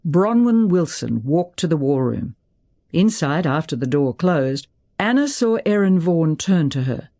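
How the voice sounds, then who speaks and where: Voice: medium pitch, big pitch swings, a little slow, warm tone. A narrator reading a dramatic novel aloud in a scripted storytelling style. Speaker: audiobook narrator.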